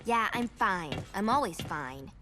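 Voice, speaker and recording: A woman's voice: mid-range voice, expressive, a little slow, close to an everyday voice. A woman voicing a spirited, determined young mechanic in a video game, with a sassy delivery. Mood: confident, teasing. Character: headstrong, spirited young female mechanic and daughter. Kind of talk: video game cutscene acting. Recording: music under the voice. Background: music.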